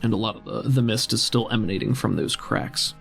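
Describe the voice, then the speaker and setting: A man's voice: on the low side, a little fast, vocal fry, a bit bright. A man acting as game master, describing scenes in a conversational storytelling way for a tabletop roleplaying game. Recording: music behind the voice. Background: background music.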